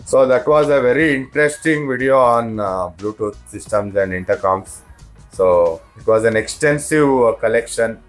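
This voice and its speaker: A man's voice: mid-range voice, normal speed, soft background music. A man hosting a casual video interview, giving brief acknowledgements and echoing what he is told. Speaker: video host interviewing a shop salesman.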